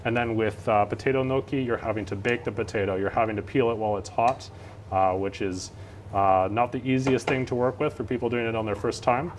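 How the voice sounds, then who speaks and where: A man's voice: medium pitch, steady tone, a little fast, slight background noise. A young Canadian man, a student chef, explaining a dish during a live cooking demonstration with many "uh" fillers. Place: large teaching kitchen studio.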